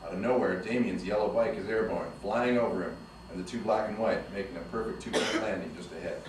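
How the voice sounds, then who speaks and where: A man's voice: on the low side, a little fast. A man reading the stage directions at a screenplay table read, heard from a distance in an echoey, noisy room.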